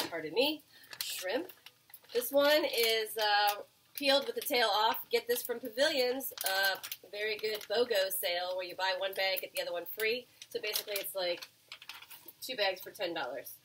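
A woman's voice: a little high, pushed and bright, slight background noise, low-quality recording. A woman in her forties hosting a casual home cooking show, chatting to viewers as she cooks, with fillers and self-corrections. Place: small home kitchen. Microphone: camera mic a few feet away. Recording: home kitchen with clattering pans.

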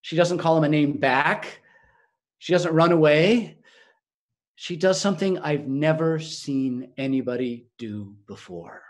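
A man acting an awestruck male disciple, telling the story in a reflective, wondering first-person monologue with emphatic pauses. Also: fairly high pitch, lightly acted. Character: awestruck male disciple recounting his teacher. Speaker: actor in a biblical monologue.

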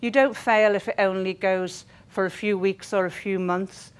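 A woman's voice: mid-range voice, fairly even intonation, moderate pace, clean tone. A middle-aged woman speaking earnestly and emphatically in an informal training talk to a group. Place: meeting room, indoors. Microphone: clip-on lapel mic.

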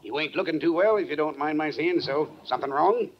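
An actor playing a nosy, sympathetic small-town shopkeeper in 1940s radio drama, with folksy, rustic speech. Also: male voice, a little high, a little fast, close to an everyday voice. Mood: nosy, concerned. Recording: muffled, faintly noisy 1940s radio recording.

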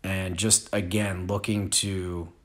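A man in his forties giving a relaxed, unhurried disc golf lesson with casual fillers. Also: deep voice. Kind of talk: casual instructional voice-over. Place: outdoor field.

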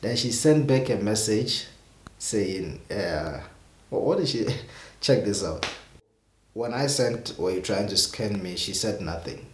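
Young male vlogger, lowish voice, exasperated and rambling, echoey room with faint hiss. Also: expressive, slow. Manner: exasperated, rambling with repeated restarts. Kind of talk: casual talk to camera. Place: small indoor room with purple lighting.

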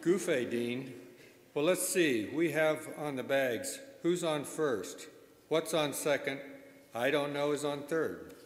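A man's voice: fairly high pitch, lively intonation, takes it slowly. A man playing the matter-of-fact straight man of a comedy duo in an old-time radio sketch. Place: stage in a church-like hall, live show. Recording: echoey hall.

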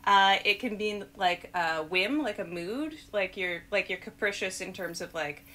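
A woman in her thirties hosting a casual word quiz over a video call, bubbly and quick to laugh, with lots of filler words, on a headset mic in a small room. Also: medium pitch, sharp tone, a little hiss or hum. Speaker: host. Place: small room with blue backdrop.

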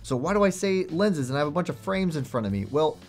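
A man's voice: fairly high pitch, expressive, quick pace. A young man hosting a product review to camera, chatty and enthusiastic in a salesman way, with faint music behind him. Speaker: host.

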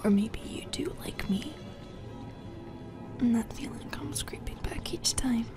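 A woman playing a possessive, teasing female sleep paralysis demon in a whispered ASMR roleplay, intimate and breathy, both menacing and affectionate. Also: a little high, unhurried, music in the background, lightly acted. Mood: coy, teasing.

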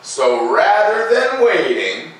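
A middle-aged man hosting a children's read-aloud, mixing expressive picture-book reading with informal, casual asides. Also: fairly high pitch, slow. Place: living room by a fireplace, indoors. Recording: echoey room, distant mic, faint noise.

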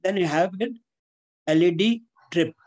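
An older man with a fairly high voice teaches a technical class over a video call. He is deliberate and didactic, going point by point through his slides and repeating or spelling out key terms. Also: darkish tone. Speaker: engineering instructor. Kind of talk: webinar lecture explaining slides. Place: indoors, on a webcam video call.

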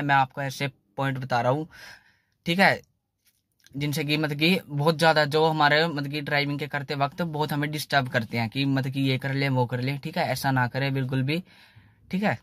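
A young man talks in a slightly high voice, and the sound is close and dry inside a small car. He chats loosely and circles back on his points, adding "theek hai" after nearly every sentence, recorded on a phone. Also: fairly bright tone, no echo. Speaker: car channel host. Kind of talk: casual how-to talk in a parked car.

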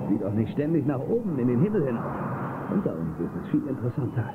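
A brave marshal of a space western, confident and reassuring. His delivery is lively in pitch. It sounds like a thin, noisy old audio-drama cassette. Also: quick pace, phone-quality sound. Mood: amused, reassuring. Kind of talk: German children's audio drama acting.

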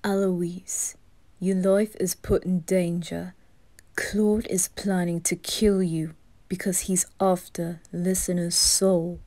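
A woman voicing a reserved, haughty young noble boy in an anime fan roleplay, terse and hushed. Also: on the low side, little pitch movement, slow pace. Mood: serious.

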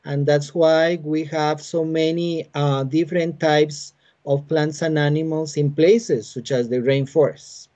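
A man in his forties from Costa Rica with a Spanish accent, a biologist calmly explaining his work in a halting way with many fillers, speaking from home on a video call. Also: on the high side. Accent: Costa Rican Spanish. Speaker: biologist and university teacher. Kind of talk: explanatory interview answers. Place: home room with bookshelves. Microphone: laptop webcam on a video call. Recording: muffled video call audio.

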